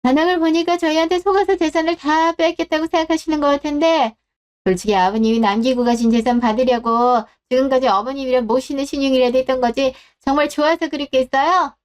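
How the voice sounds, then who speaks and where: A brazen daughter-in-law played by a woman storyteller with a high voice. She talks back with open scorn and sarcasm, confrontational throughout a scripted family drama. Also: steady tone, fast talker, lightly acted. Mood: scornful, irritated.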